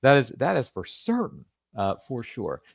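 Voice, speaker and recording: A man's voice: mid-range voice, huge pitch swings, normal speed, gravelly, dry recording, clean studio sound. A man hosting a podcast interview, chatty and rambling, with jokey asides. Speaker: podcast host. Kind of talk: casual interview chat.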